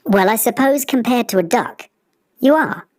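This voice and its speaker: A graceful, kindly swan from a children's tale, played by a woman, with a raised, lilting pitch. It is gentle and puzzled, and puts its questions politely. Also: lively intonation, normal speed, no echo, clean studio sound, lightly acted. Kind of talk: children's storybook character voice.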